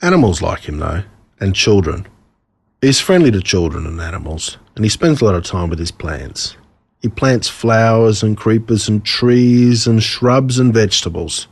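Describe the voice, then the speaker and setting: A man with an Australian accent reading a scripted storybook in an expressive storytelling manner. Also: fairly low pitch, moderate pace, vocal fry, breathy edge, no echo. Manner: expressive, storytelling in a child narrator's words. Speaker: author reading his own story.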